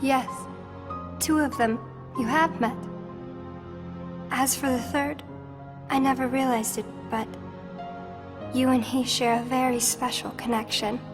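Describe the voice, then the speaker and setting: A wistful teenage girl in a video game, soft and solemn. The voice is high and slow, with music playing behind it. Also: steady tone. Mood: earnest. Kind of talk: video game cutscene acting.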